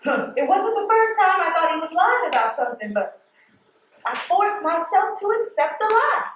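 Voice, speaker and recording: A woman acting a self-doubting postal supervisor in a stage play, with a very high voice. She is weary and confessional, halting as she reflects. The sound is strongly echoing and picked up from a distance. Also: animated, a bit bright. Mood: regretful, reflective. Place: theatre stage. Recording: roomy stage, distant mic, faint noise.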